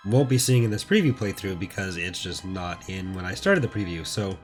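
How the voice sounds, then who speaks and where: A man with a fairly low voice that is still recovering from being lost. He hosts a casual game playthrough, rambling along in a low-key way. Faint music plays behind him. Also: fairly quick. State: recovering from voice loss. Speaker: host of a gaming playthrough series. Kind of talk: casual gameplay commentary.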